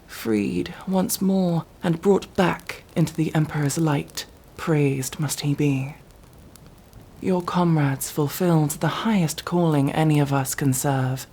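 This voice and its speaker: A woman's voice: low voice, dark tone, slightly rough, exaggerated voice, low-quality recording. A woman playing a devout, zealous sci-fi battle sister in an ASMR roleplay, with solemn, preaching, archaically formal speech. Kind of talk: ASMR roleplay, dramatic monologue.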